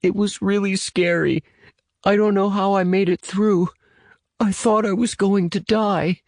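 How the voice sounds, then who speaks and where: Frightened little boy, played by a male narrator, high and thin, tearful, shaky, for an audiobook. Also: dry recording, clean studio sound. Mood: scared, tearful. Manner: halting, tearful, youthful slang.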